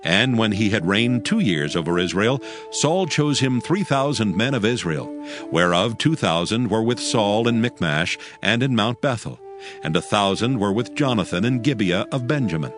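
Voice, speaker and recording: A man's voice: fairly low pitch, expressive, moderate pace. A man narrating scripture in a solemn, scripted, dramatic storytelling style. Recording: music under the narration.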